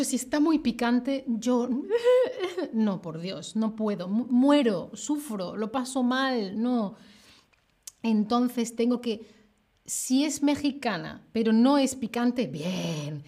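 A woman, teaching on a live stream and answering viewers' chat questions in a lively, expressive way. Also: a little high. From Spain, Seville. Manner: lively and expressive, slows down to spell out words clearly. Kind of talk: live-stream Spanish lesson, chatting with viewers.

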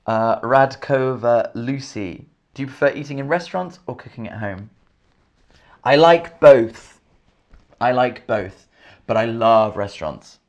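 A man from England with an English accent, teaching a live online English lesson, slowly repeating each question and thanking viewers by name. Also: medium pitch, darkish tone, muffled recording. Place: indoors, in Scotland.

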